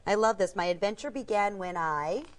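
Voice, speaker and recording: A woman with a mid-pitched voice co-hosts a live TV shopping segment. She presents in a chatty, conversational style, as if walking viewers through what is on the table. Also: normal speed. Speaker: shopping channel co-host.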